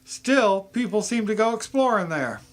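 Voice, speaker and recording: A man's voice: high-pitched, expressive, moderate pace. A man playing an inquisitive small-town hospital doctor in a scripted radio mystery. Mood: curious, concerned. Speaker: amateur voice actor in an audio mystery.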